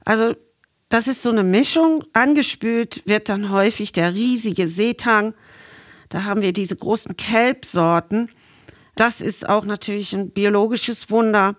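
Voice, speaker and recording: A woman's voice: mid-range voice, unhurried, dry recording, clean studio sound. A middle-aged woman from Ireland telling stories conversationally as an interview guest. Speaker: interview guest, marine scientist. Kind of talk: conversational storytelling, reminiscing.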